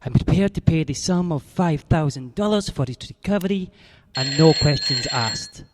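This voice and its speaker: A man's voice: fairly high pitch, expressive, normal speed, noisy background, lightly acted. A silky, overly courteous foreign dealer, played by a man, each word polished and precise. His menace stays politely veiled. The voice rings with a long, hall-like reverb. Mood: ingratiating. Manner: formal, ornate and polite.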